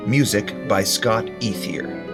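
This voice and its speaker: A man's voice: lowish voice, slow. A man reading scripted credits as an announcer. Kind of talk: scripted narration. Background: loud music.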